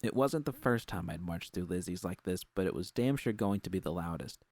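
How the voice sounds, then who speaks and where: A man's voice: sits low, animated, brisk, darkish tone, no echo. A man reading a scripted first-person story as narrator.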